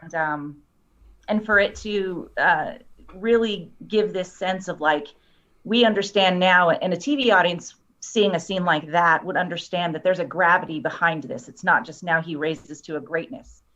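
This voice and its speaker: A woman's voice: fairly low pitch, moderate pace, fairly bright tone. A woman chatting casually as a guest, explaining in an earnest way. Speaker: guest, scriptwriter. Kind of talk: casual chat. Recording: slightly dull, lo-fi sound.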